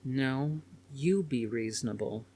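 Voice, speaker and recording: A man's voice: medium pitch, slow, a little mellow, voice pushed up. A man voicing a spoiled, petulant young woman with a whiny, melodramatic delivery in an audiobook. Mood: sulky. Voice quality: whiny, gasping.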